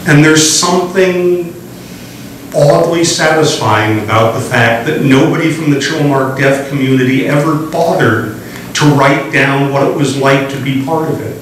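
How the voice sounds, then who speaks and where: A middle-aged man giving a public history lecture in measured, deliberate phrases, recorded in a library meeting room. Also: on the high side, fairly bright tone. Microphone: room mic from a distance. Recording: echoey hall, distant mic, faint hiss.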